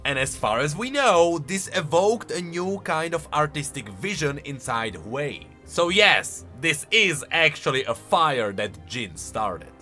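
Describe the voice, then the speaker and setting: Animated, bright-voiced man doing commentary. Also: a little high, a little slow, hardly any pauses, soft background music. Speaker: host of a game lore channel. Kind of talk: casual reaction commentary.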